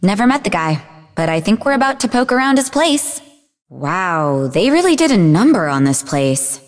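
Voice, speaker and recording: Snarky young woman from a video game, done by an actress, really expressive pitch, big echoey reverb on it. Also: normal speed, lightly acted. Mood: wry. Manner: snarky, conversational, emotionally expressive. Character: sarcastic, defensive young woman, idealistic martial-arts student and researcher. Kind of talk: video game character dialogue.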